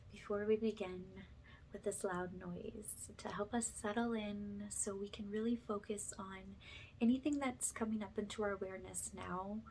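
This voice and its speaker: Soft-spoken woman guiding ASMR meditation, background noise. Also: mid-range voice, poor audio. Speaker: ASMR energy healing host and guide.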